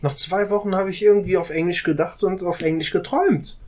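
A man's voice: high voice, steady tone, brisk, a loud crowd. A man in a casual group discussion, talking at length and often ending phrases with a questioning "ne?". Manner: talkative, often adds a questioning "ne?".

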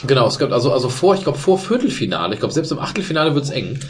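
Fast-talking man in casual banter. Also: medium pitch, very animated. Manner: rambling, restarts, tag question "ne?". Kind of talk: casual football chat among friends.

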